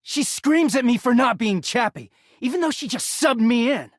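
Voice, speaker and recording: A man's voice: very high-pitched, lively intonation, moderate pace, no echo, studio quality. A man voicing a bitter, exasperated, sardonic, foul-mouthed young male living-laundry character in a video game. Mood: aggrieved, bitter. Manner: sardonic, foul-mouthed, swinging from muttering to shouting.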